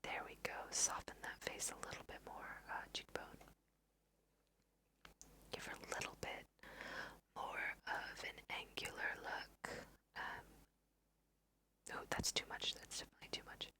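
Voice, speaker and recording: A woman whispering chatty ASMR game commentary, thinking aloud in a breathy, self-amused way into a close microphone. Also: measured pace, pauses often. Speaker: host of a gaming let's play.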